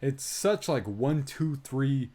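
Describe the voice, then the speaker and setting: A man's voice: medium pitch, animated, takes it slowly, mellow. A man co-hosting a film review podcast in casual chat, opinionated, swearing freely and acting out reactions as he goes. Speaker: podcast co-host reviewing films.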